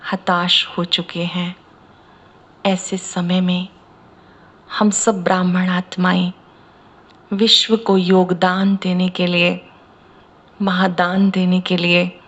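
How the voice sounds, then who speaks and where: A woman from India leading a guided meditation as a spiritual teacher, speaking in measured, deliberate short phrases with pauses. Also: on the low side, fairly bright tone. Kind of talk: slow guided meditation discourse.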